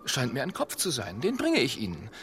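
An actor playing an impatient, unsentimental killer in an absurdist radio play, with a clipped, commanding way of talking. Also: male voice, medium pitch, wildly swooping pitch, brisk, a bit bright, a little hiss or hum. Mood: urgent, pushing. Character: impatient male head-hunting killer, bossy and unsentimental.

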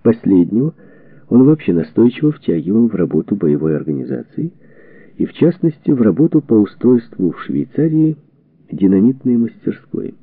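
A man reading a historical book as a scripted narration, in measured, deliberate phrases. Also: lowish voice, very animated, breathy edge, no room sound. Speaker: audiobook narrator. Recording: narrow phone-like band, radio-like sound.

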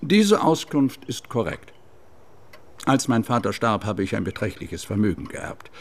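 An actor playing a wealthy estate owner in a radio drama, speaking in a formal, courteous and reserved manner. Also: male voice, fairly low pitch, sing-song, a little slow. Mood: composed, explaining.

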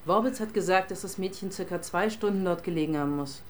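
Woman acting a low-voiced, brisk police inspector. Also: slight background noise, natural voice. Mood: businesslike. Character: brisk, sardonic female police inspector in charge. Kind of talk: German radio crime drama acting.

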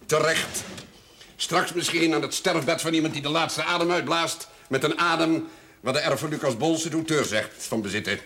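A man's voice: on the high side, normal speed, sharp tone, slight background noise. An older man playing a pompous, sardonic, shrewd village notary and moneylender in a television drama, speaking in formal, ironic phrases. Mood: sardonic, expansive. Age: older, over 50. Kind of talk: theatrical television drama dialogue.

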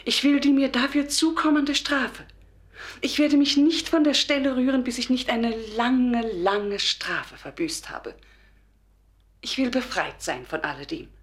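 An actress playing a meek, devout, gravely ill wife in a radio drama, solemn and fervent, halting and at times dropping towards a whisper. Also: female voice, high-pitched. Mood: remorseful, pleading.

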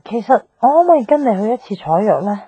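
A woman's voice: medium pitch, big pitch swings, quick pace, phone-quality sound, slight background noise, close to an everyday voice. An actress playing an earnest, plucky young woman in radio drama dialogue, conversational, with a Hong Kong Cantonese accent. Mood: earnest, hopeful.

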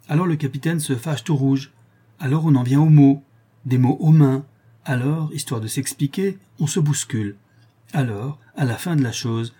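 A man's voice: medium pitch, warm tone, slightly rough, pitched above the performer's own voice, natural voice. Man voicing an eager, plain-spoken sailor spinning yarns. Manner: colloquial, exclamatory, repetitive storytelling. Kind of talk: acted audiobook character dialogue.

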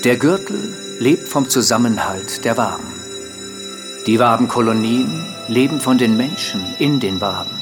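A male actor plays a slick commercial announcer in a radio drama. His mid-pitched sales pitch is smooth and highly animated, set over clear background music. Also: a little slow, natural voice. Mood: ingratiating. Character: slick commercial announcer for future tech gadget. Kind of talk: advertising voice-over.

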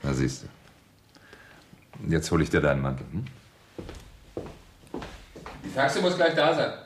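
Deep-voiced, evasive husband. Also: male voice, wildly swooping pitch, unhurried, full character voice. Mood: coaxing, evasive. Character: evasive, placating husband with a guilty secret. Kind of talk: radio crime drama acting.

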